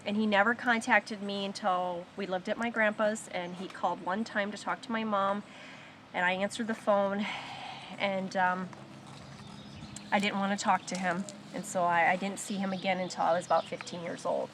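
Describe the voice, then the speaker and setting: A woman of medium pitch shares her own story of surviving abuse in a support circle. She talks in a halting, reflective way, pausing and restarting, and she slows and softens on the painful memories. A faint hiss sits under her voice. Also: steady tone. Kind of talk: personal testimony in a support circle.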